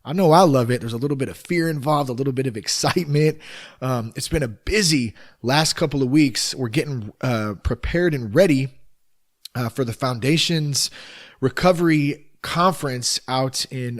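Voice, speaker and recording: A man hosting a solo podcast, his naturally raspy voice worn and tired, talking in a casual, upbeat, rambling way with many fillers. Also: mid-range voice, normal speed. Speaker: podcast host.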